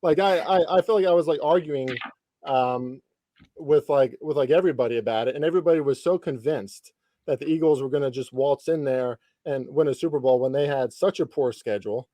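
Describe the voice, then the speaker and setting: Mellow man in his thirties hosting casually. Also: mid-range voice, brisk, no echo. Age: 30s. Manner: informal, lightly profane slang. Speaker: podcast host interviewing a guest. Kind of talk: casual sports podcast chat.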